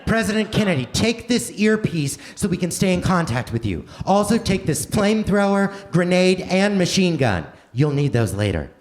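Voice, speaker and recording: A man's voice: high-pitched, big pitch swings, normal speed, hardly any pauses. A man playing an eager, easily flustered husband on a mission in a live comedy radio play, with exaggerated delivery. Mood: urgent, eager. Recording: live theater stage, faint noise.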